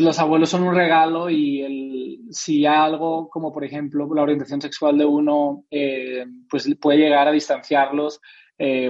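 Dull-sounding young man, higher-pitched voice. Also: darkish tone. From Spain. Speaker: interviewed author. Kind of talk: reflective interview conversation. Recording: dull, lo-fi audio.

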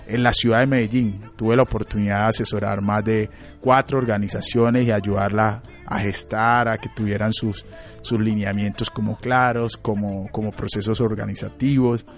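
A Colombian man in his late thirties, a psychologist and radio guest, answering in a thoughtful, halting way with many fillers and restarts. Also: on the low side. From Colombia, Chocó. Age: late 30s. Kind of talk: reflective interview answers.

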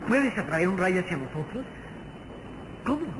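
A man's voice: high-pitched, big pitch swings, normal speed. An actor playing an anxious, curious boy sidekick in a vintage radio serial, pleading and wondering. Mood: puzzled, urgent. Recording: noisy old radio serial recording.

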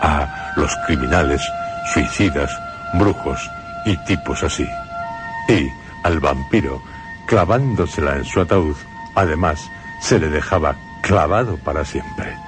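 A man's voice: sits low, huge pitch swings, a little slow, music in the background. A man with a Castilian Spanish accent presenting dramatized radio narration in a theatrical, questioning manner.

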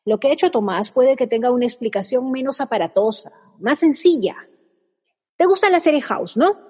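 An actress playing a sensible, patient woman friend in a radio comedy, calm and reasoning with dry irony. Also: sits high, moderate pace, slightly rough, in a large hall. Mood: persuasive.

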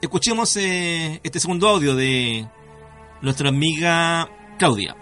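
A Chilean man hosts a conversational radio show in a bright, forceful, fairly high voice. He speaks haltingly, feeling for his words, with music playing underneath. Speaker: radio host.

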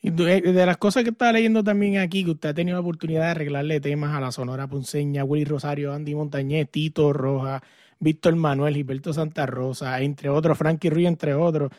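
Chatty interviewer, dry sound. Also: male voice, on the high side, fairly even intonation, fairly quick, darkish tone, dry recording. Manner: chatty, enthusiastic, clipped words. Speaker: interviewer, host. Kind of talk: casual interview.

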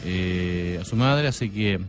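Male radio co-host, Chilean accent, low voice, courteous and formal, clear music bed. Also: takes it slowly. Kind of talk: live radio chat. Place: radio studio. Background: background music.

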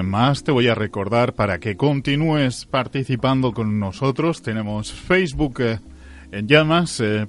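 A man with a Spanish accent from Spain hosting radio, making casual, ad-libbed announcements with chatty, joking asides. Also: mid-range voice. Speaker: radio host.

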